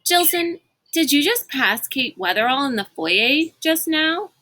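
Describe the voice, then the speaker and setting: A woman's voice: high-pitched, sing-song, a little slow, noisy background, pitched above the performer's own voice. A woman voicing a haughty, suspicious girl guard in a storybook, with an accusing manner. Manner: snarling, accusing.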